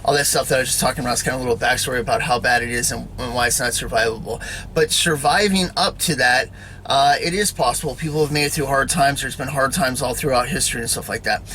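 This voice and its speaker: Male preacher, loose conversational sermon, bright voice, faint background hum. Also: mid-range voice, fast, rough recording. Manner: rambling, repeats "all right?" and "you know". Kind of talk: casual spoken sermon to camera.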